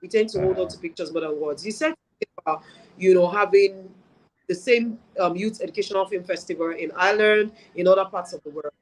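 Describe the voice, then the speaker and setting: A woman's voice: lowish voice, fairly quick, poor audio. A woman with a Nigerian accent interviewing in a conversational, affirming way as host. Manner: affirming, agreeing with the guest. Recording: faint background noise.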